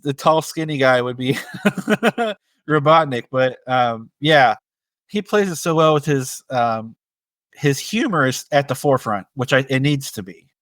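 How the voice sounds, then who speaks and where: Male co-host, medium pitch, halting and appreciative, informal movie talk, very dry recording. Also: a bit bright, dry recording. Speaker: podcast co-host. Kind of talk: casual movie discussion podcast.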